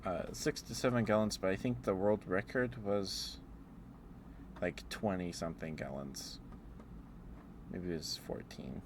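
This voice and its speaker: A man with a very low voice talks in a rambling ASMR style, as a livestreamer would. He muses aloud in a halting way, with faint steady noise behind him. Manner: halting, musing, thinking aloud.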